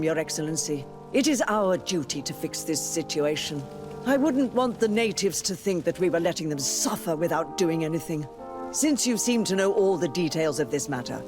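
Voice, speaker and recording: A woman playing a stern, imperious high churchwoman in a video game, formal and commanding. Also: expressive, moderate pace, lightly acted. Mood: gracious, commanding. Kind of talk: video game dialogue acting. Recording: music behind the voice.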